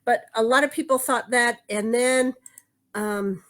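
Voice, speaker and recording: A woman's voice: on the high side, fairly even intonation, unhurried, fairly bright tone. A woman co-hosting a casual quilt shop video, chatting and rambling as she hunts for words while showing her craft projects. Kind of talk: casual show-and-tell chat.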